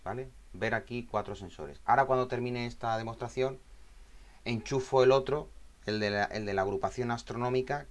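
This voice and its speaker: Guy walking you through a tech tutorial, mid-pitched voice, explains stuff casually and keeps checking in. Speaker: tutorial instructor. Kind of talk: informal spoken screen tutorial.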